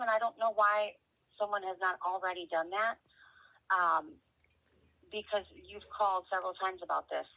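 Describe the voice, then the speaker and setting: Woman agent on a dull phone line, creaky voice. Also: mid-range voice. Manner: halting, thinking aloud while checking details. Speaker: customer service help desk representative. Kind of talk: customer service phone call. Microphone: telephone. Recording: dull, band-limited telephone line.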